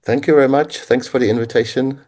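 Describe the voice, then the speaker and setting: A man from the Czech Republic with a Czech accent, speaking English as a guest in an interview, reflective and halting with frequent pauses. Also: mid-range voice, fairly even intonation, a little mellow. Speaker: guest linguist. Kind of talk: conversational interview answers.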